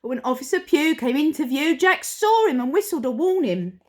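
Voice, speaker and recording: Animated woman reading a children's rhyming story. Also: high voice, moderate pace. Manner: theatrical, playful, varied delivery for children. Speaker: primary school storyteller. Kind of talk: expressive read-aloud of a rhyming children's picture book.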